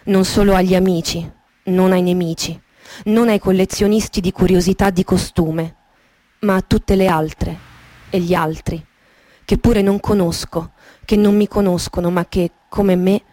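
A woman gives a dramatic literary reading in the manner of a radio theatre monologue, intimate and poetic, with deliberate pauses. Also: on the low side, mellow. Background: music with guitar and sound design.

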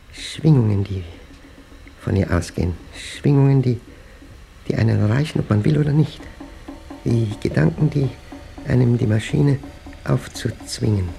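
An actor playing an obsessed, paranoid man in a radio drama monologue, speaking intensely in halting, clipped fragments as he battles a machine he fears. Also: medium pitch, gravelly, mellow. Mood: uneasy brooding.

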